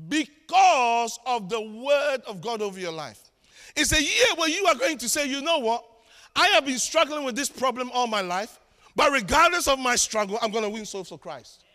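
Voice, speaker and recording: A Ghanaian man preaching in a large church, his voice high and bright with a forceful edge. He tells stories with self-mocking humor and swings between shouted lines and quiet asides. Long reverb from the hall trails after his words. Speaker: pastor. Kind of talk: sermon.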